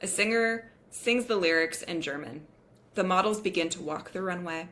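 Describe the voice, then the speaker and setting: A woman's voice: on the low side, moderate pace, a bit bright. A woman reading stage directions as the narrator of a scripted table read.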